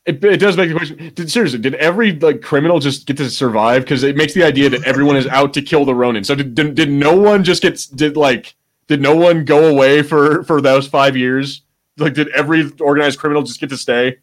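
A man's voice: on the high side, brisk. A man co-hosting a podcast, chatting casually about pop culture in a rambling way, swearing freely. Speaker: podcast co-host.

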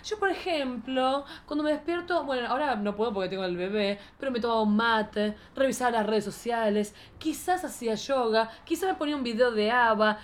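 A woman's voice: a little high, brisk, few pauses. A woman with an Argentine Rioplatense accent hosting a casual comic chat in a playful, campy, slangy way. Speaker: podcast host.

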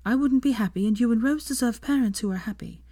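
A woman voicing a protective mother in a story, earnest, measured and consoling. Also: a little high, warm tone, pitched above the performer's own voice, lightly acted. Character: firm, consoling mother, grown woman, protective of her daughter. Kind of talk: dramatised fan fiction reading.

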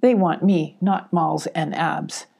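A small, anxious creature hero from a children's story, played lightly by a woman reader. The voice is husky and mellow, with a self-blaming, worried temper. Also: sits low, steady tone, slow, exaggerated voice. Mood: guilty.

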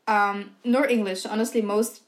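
A woman's voice: medium pitch, level tone, normal speed. A young Dutch-speaking woman from Belgium, co-hosting a casual podcast chat and filling her talk with "like" and "um". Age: young adult. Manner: chatty, heavy use of "like" and "um". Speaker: podcast co-host.

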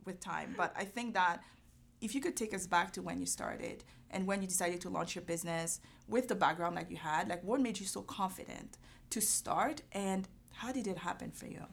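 Woman host asking warm, playful questions. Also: fairly low pitch, moderate pace, vocal fry, slightly rough. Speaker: interview host. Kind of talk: casual interview.

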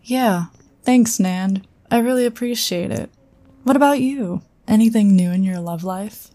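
An actress playing an earnest psionic agent in a sci-fi audio drama, speaking conversationally. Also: female voice, medium pitch, measured pace, dark tone, natural voice. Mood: warm, grateful. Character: earnest female psionic agent, loyal but wary.

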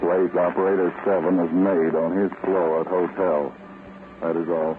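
An actor playing a calm, commanding counterspy chief in an old-time radio drama, with a terse, measured delivery. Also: male voice, low voice, narrow, tinny audio, lightly acted. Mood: businesslike. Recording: scratchy, band-limited old radio recording.

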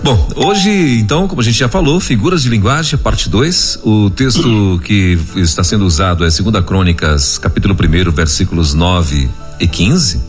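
A man's voice: lowish voice, lively intonation, moderate pace, few pauses, soft background music. A man hosting a live radio program, chatting jovially, laughing often and reading listener questions aloud. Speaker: radio host.

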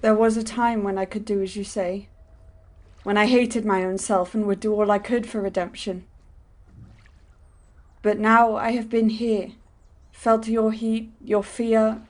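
A woman's voice: medium pitch, a little mellow, natural voice. A woman playing Edwin, a devout, visionary young man, speaking in earnest, old-fashioned dialogue for a drama.

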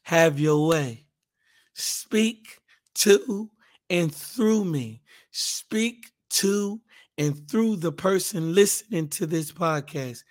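A man's voice, hoarse and raspier than usual, sitting a touch above typical pitch. He talks slowly and casually as a Bible podcast host, rambling a little, and turns fervent when he prays. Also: animated. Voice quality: raspy.

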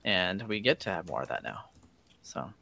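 A man's voice: low voice, big pitch swings, normal speed, gravelly, pushed and bright, dry recording. A man co-hosting a podcast, chatting casually and agreeably with conversational asides. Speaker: podcast host. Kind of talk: casual chat.

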